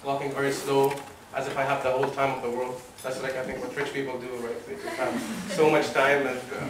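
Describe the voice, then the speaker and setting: A young man from Oman giving a motivational talk to a seated audience, animated and laughing at his own stories. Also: mid-range voice, a little fast, background noise. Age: young adult. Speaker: motivational speaker. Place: wood-panelled lecture room with a seated audience. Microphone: room mic at a distance while he walks the stage. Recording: echoey hall from far off.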